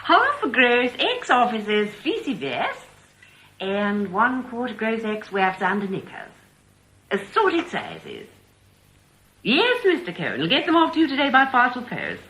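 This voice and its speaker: An actress playing a brisk, prim reformed crook working as an office clerk in a noisy recording of a radio drama. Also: female voice, a little high, wildly swooping pitch, fairly bright tone, exaggerated voice. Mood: brisk, businesslike. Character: brisk, prim ex-shoplifter running mail-order office.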